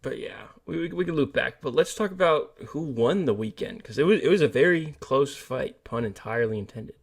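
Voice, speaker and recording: A man's voice: mid-range voice, animated, fairly quick, creaky, breathy edge. A man co-hosting a podcast, talking casually in a dry, skeptical and pessimistic way. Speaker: podcast co-host. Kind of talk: casual movie discussion.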